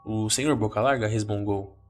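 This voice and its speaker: A man's voice: fairly low pitch, fairly even intonation, normal speed, soft background music. A man with a Brazilian accent, speaking as the narrator and host of a horror story podcast.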